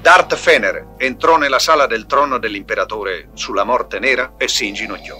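A man's voice: medium pitch, moderate pace, pushed and bright. A man reading scripted narration for an audio storybook. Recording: 1980s storybook record with music. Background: music.

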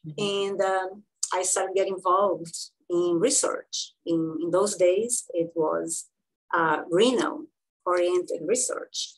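A woman in her sixties from Brazil with a Brazilian Portuguese accent, telling her career story as an interview guest, with frequent pauses and fillers. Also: on the low side, rough recording. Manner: halting, many fillers and restarts. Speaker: guest physician-researcher.

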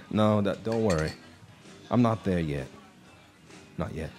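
Man playing lonely haunted bar singer, lowish voice, halting, dramatic, world-weary, radio play. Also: a little mellow, soft background music. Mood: brooding. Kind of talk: live radio drama acting.

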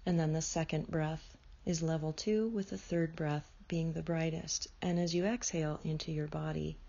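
A woman leading a guided meditation in a slow, soothing way, often dropping into soft whispers. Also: low voice, steady tone, creaky, muffled recording.

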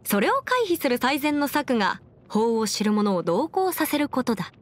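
A woman's voice: sits high, big pitch swings, moderate pace. A woman voicing a confident, clever young female legal advisor in an anime game, articulate and slightly boastful, with playful pride. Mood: proud, confident. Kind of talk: Japanese anime game character voice lines. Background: music.

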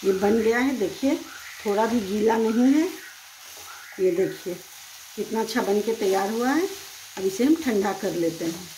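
A woman's voice: medium pitch, lively intonation, measured pace, long pauses, mellow, a little hiss or hum, poor audio. A woman hosting a home cooking channel, explaining each step plainly in her kitchen. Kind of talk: home cooking demonstration voice-over.

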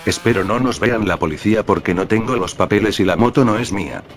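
Low-voiced man over engine noise. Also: fast talker. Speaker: gaming vlogger. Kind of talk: casual motovlog-style commentary over gameplay. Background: buzzing motorbike engine from a video game.

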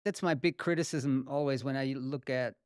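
Man, thirties, native German, smooth clear voice, dry close mic, casual chatty host. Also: medium pitch, steady tone, a little mellow, dry recording. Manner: quick, friendly, lots of "like" fillers. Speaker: podcast host. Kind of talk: casual interview hosting. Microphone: studio podcast mic.

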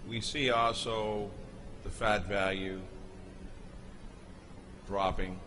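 Low-voiced guy talking slow over a video, lots of pauses, noisy hiss behind. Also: fairly even intonation. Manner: slow, halting explanation with pauses and restarts. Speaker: narrator explaining a product video. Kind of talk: unscripted voice-over commentary.

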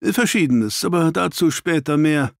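Man as gentleman detective, stern, clipped orders, audiobook crime story, very dry recording. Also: on the high side, expressive, normal speed, gravelly, no room sound, voice pushed up. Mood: angry, self-reproachful. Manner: terse, commanding.

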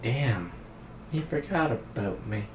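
A young man with a naturally deep, raspy voice, hosting a casual music reaction, laid-back and swearing freely as he praises a singer. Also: animated, slow, roomy sound, background noise. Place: indoor room at a streaming desk.

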